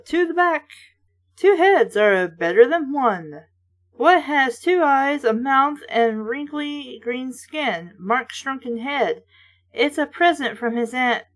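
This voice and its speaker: A woman hosting an informal read-aloud of books, with casual, snarky asides along the way. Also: on the high side. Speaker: host reading books aloud.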